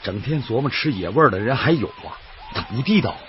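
A man's voice: medium pitch, animated, brisk, a little hiss or hum, lightly acted. A man acting as a plain-spoken, earnest working-class boatman in a radio drama, talking in a folksy, colloquial way. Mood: friendly, humble. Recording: dull band-limited radio drama with music.

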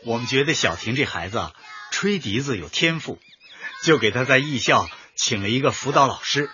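A woman playing the warm, effusive director of a children's home in a radio drama. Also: a bass voice, animated, moderate pace, full character voice. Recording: dull lo-fi broadcast with faint noise.

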